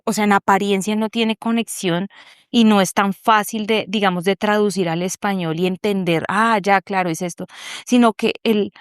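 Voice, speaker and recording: A woman gives a heartfelt, reflective faith testimony over a video call. Her voice is smooth and clear, with a dry, close sound and no room echo. She speaks at an even, middle pitch. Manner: earnest, reflective, searching for words. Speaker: group member sharing a testimony. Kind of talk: personal faith testimony in an online meeting.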